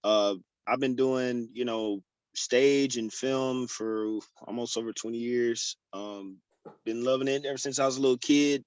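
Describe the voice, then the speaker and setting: A man's voice: mid-range voice, steady tone, a little slow, darkish tone, no room sound. An actor from Houston, Texas, easygoing and joking as he introduces himself on a video call. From Houston, Texas, USA. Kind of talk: casual video call chat.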